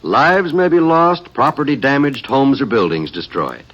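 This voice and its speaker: A male American announcer from 1950s radio reads in a declamatory, solemn style. His pitch moves dramatically around a middle register. The recording is old and muffled. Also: lively intonation, moderate pace, fairly bright tone. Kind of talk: 1950s scripted public-service announcement.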